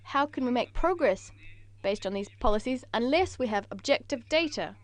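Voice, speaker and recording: A woman interprets a conference talk in a steady, deliberate way. Her voice sits a little high. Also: dry recording.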